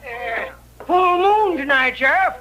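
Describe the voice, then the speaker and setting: Timid quavery townie, very high n slow, apologetic, old radio western. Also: male voice, lively intonation, sharp tone, muffled recording, full character voice. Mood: nervous. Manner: hesitant, apologetic. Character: timid, fussy, quavering frontier townsman. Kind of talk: 1950s radio western acting. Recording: old radio recording with music.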